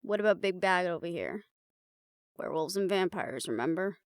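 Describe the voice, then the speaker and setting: A woman's voice: fairly low pitch, lively intonation, normal speed, clean tone, no echo, clean studio sound, close to an everyday voice. An actress playing a wary, protective and responsible woman hunter in a fantasy audio roleplay, blunt and skeptical in manner. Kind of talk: scripted audio roleplay drama. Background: background music.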